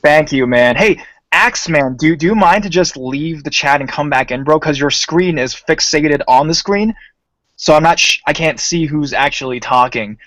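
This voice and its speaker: A man runs a casual voice-chat storytelling session, slangy and easygoing, and keeps calling the guests bro. His voice sits a little high. The sound is close and dry, with no room echo. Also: normal speed. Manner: relaxed and slangy, calls people bro. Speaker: host.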